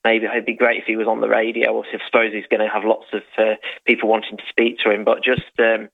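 Hesitant Midlands caller on a phone line. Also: male voice, fairly low pitch, completely flat delivery, fast talker, vocal fry. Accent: English Midlands. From English Midlands. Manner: hesitant, full of restarts. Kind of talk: casual phone-in chat.

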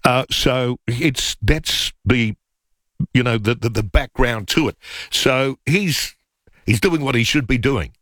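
A man's voice: mid-range voice, slow, fairly bright tone, dry recording. A man hosting talkback radio, teasing and sarcastic in casual banter.

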